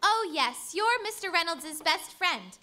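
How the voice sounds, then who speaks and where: A woman's voice: very high-pitched, animated, measured pace, bright, forceful tone, heavily acted. An actress playing a sympathetic lady companion to a sleuth in an old-time radio mystery. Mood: inquiring. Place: live stage performance at a convention.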